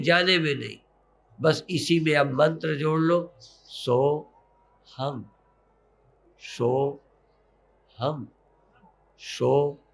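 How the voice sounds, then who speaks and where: A man guides a breathing meditation in the way of a spiritual teacher. His voice sits a little high for a man and has a marked gravelly creak. He speaks very slowly, coaxing gently and repeating short phrases. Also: slight background noise.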